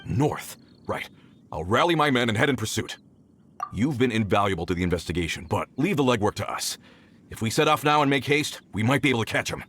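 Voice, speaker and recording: An actor playing a dutiful search-party leader in a video game, decisive and businesslike. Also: male voice, mid-range voice, lively intonation, brisk, a bit bright, slight background noise, close to an everyday voice. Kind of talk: video game character acting.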